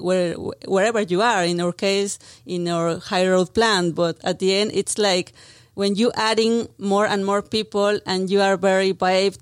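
A woman's voice: fairly low pitch, dry recording, studio quality. A woman in her thirties from Mexico City with a Mexican Spanish accent, earnestly answering interview questions with frequent restarts. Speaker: brand marketing manager, guest. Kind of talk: radio interview. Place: radio studio.

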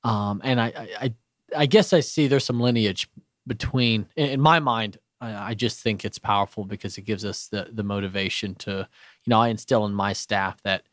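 A man from Bulgaria speaking as a radio guest in a studio, earnest and halting with many restarts. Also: lowish voice, no echo. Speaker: radio show guest, business owner. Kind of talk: casual radio interview.

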